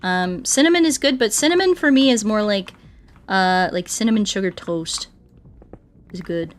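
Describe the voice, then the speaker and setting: A woman doing a casual gaming livestream, rambling playfully and thinking out loud as she chats with viewers. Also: mid-range voice, moderate pace, a little hiss or hum. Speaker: streamer playing Minecraft and chatting with viewers.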